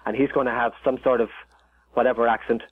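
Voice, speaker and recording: A man's voice: medium pitch, little pitch movement, quick pace, husky, no echo. A man with an Irish accent, a chef talking as a guest in a casual interview, rambling with many restarts and fillers. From Ireland. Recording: tinny phone line.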